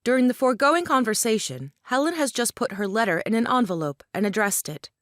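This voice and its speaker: A woman's voice: a little high, big pitch swings, fairly quick, fairly bright tone, no room sound, studio quality. A woman reading stage directions as scripted narration for a play.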